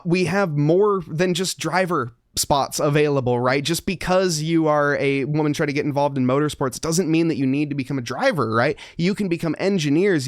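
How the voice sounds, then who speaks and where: A man's voice: on the high side, no room sound, clean studio sound. A man who works as a sim racing commentator, talking as an upbeat guest in a casual podcast interview.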